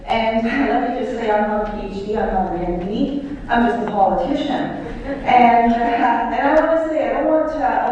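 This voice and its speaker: A woman's voice: on the high side, warm tone, a little hiss or hum. Woman politician in an echoing hall. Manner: hesitant, many uh fillers, friendly. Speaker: politician on a conference panel. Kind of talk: conference panel remarks. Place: large lecture hall. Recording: echoey hall, distant mic, dull sound.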